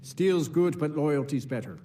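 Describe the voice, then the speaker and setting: Actor as a very dark-voiced, proud Nord guard. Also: male voice, on the high side, unhurried, a little hiss or hum, natural voice. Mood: proud, solemn. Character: stern, loyal Nord guard, honor-bound warrior. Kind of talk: video game character dialogue.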